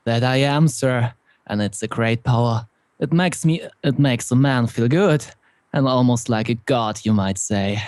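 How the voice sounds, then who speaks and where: A man's voice: mid-range voice, measured pace, a little mellow, smooth, no echo, lightly acted. An actor playing a deranged, gloating village postman and bell-ringer in an old-time radio drama, rustic and melodramatic. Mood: gloating, proud.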